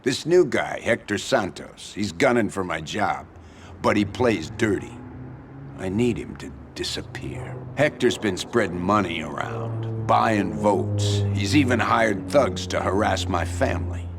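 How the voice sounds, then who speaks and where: A man playing a wary, crooked union boss in a crime game, gravelly, guarded and sly. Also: fairly low pitch, animated, unhurried, music in the background. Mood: sly, bargaining. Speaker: video game character actor. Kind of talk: cinematic crime drama acting.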